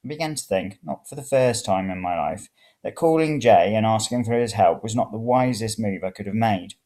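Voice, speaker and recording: A man's voice: fairly low pitch, moderate pace, warm tone. A man reading a first-person story aloud. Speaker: reader of serial fiction.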